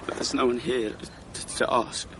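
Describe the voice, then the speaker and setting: A man's voice: medium pitch, steady tone, normal speed, a bit bright, slight background noise, close to an everyday voice, poor audio. A young-sounding man playing a sensitive, practical teenage son who loves his dog, in a naturalistic radio drama. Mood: practical, insistent.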